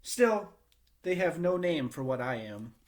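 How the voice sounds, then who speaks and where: A man reading a children's book aloud in a measured, expressive storytelling manner with short pauses. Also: on the high side. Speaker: read-aloud reader.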